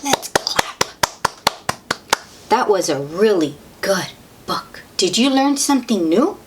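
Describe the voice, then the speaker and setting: Slow-paced woman reading aloud to children. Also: medium pitch, very animated, husky. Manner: child-directed, asks the listener questions, exclaims in wonder. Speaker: host reading picture books aloud to children. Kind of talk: children's read-aloud with simple commentary and questions.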